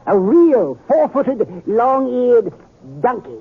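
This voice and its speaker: An actor playing an excitable old miser in a western radio drama, with a gravelly, husky voice. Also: male voice, extremely high pitch, takes it slowly, full character voice. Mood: giddy, jolly. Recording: tinny, phone-like radio recording.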